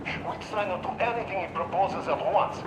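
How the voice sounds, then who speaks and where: Brash, irritable radioman for a game. High-set voice, echoing radio with clear noise. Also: normal speed. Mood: defiant. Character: cocky, irritable male radio operator of a survivor crew. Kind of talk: dramatic game dialogue. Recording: echoey, noisy radio transmission.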